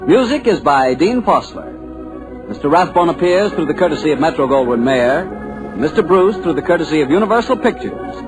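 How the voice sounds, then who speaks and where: Old time radio announcer guy, bouncy lively voice, soft music under him. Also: fairly high pitch, moderate pace, rough recording. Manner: polished, smooth, lilting delivery.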